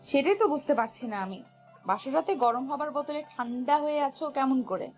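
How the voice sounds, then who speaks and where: A young bride, acted by a woman in a romantic audio story, speaking in a high voice. She is teasing and impatient, scolding with playful exasperation over a soft music bed. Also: expressive, normal speed.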